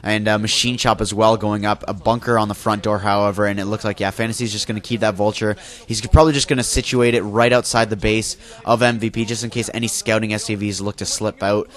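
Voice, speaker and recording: This is a young man with a congested, nasal voice, a little on the low side, calling a video game match on his own. He talks loosely, stacking fillers and correcting himself mid-sentence as he tracks the play. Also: level tone, fast talker, few pauses. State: congested from a flu, nasal, coughing. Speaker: esports caster. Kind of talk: solo play-by-play game commentary.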